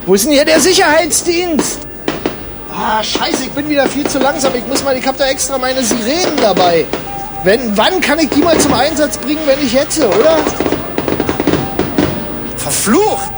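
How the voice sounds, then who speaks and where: A man acting a clueless, irritable sidekick in a radio comedy. His high voice swings widely as he stammers bluntly, with music behind him. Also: lively intonation, normal speed. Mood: panicked. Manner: stammering, repeating words, blunt. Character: flustered, irritable, clueless buddy. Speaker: comic sidekick in an audio play.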